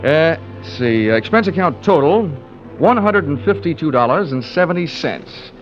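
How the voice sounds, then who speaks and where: A man's voice: fairly high pitch, lively intonation, moderate pace, close to an everyday voice. An actor playing a wry, wisecracking American insurance investigator who narrates his case in an old radio drama. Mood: wry, breezy. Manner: wry, dry-humoured. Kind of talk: 1950s radio detective narration. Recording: lo-fi old radio broadcast, music behind.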